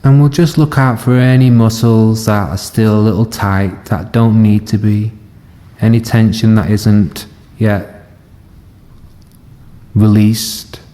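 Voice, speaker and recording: A man leading a guided body scan meditation as a teacher, speaking gently and unhurriedly in short phrases with long pauses. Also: lowish voice, fairly even intonation. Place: live session in a reverberant room. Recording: long reverb of a hall.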